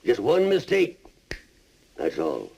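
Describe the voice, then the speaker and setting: A man's voice: mid-range voice, huge pitch swings, slow pace, creaky, a little mellow, slight background noise, exaggerated voice. An actor playing an agitated, exasperated man in an old television Western.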